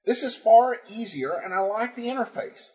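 A man hosting a podcast, speaking informally in a halting, hesitant way as he reads and answers listener feedback. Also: sits high, a little mellow, rough recording. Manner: halting, hesitant, breaks up phrases. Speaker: podcast host.

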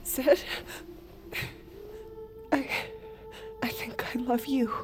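An actress playing a shaken, secretive young woman in an intimate audio drama, with hushed, halting, emotional delivery. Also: sits high, steady tone, music in the background. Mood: tender. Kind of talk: naturalistic audio drama acting.

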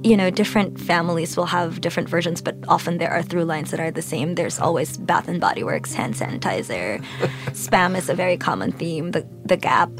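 A woman from the Philippines, speaking reflectively and thoughtfully in an interview, with halting pauses. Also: on the low side, over music. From Philippines (Manila). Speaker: interview guest, photojournalist.

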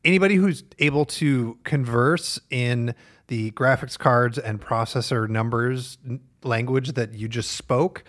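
A man's voice: mid-range voice, measured pace, fairly bright tone. A man co-hosting a tech podcast, talking casually and arguing his point. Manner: casual, argumentative, lots of "like". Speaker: podcast co-host. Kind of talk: podcast discussion.

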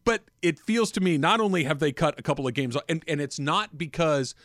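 A man with an American accent hosts sports talk radio in a slightly high voice. He circles back and rephrases his points conversationally. The sound is dry and close, like a studio microphone. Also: expressive, fairly quick, fairly bright tone, dry recording. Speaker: sports radio show host.

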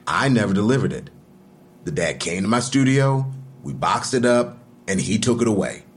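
An actor playing a defensive street artist under police questioning in an audio drama, speaking naturally and tersely. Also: male voice, on the low side, sing-song, moderate pace. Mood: guarded. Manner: terse, guarded.

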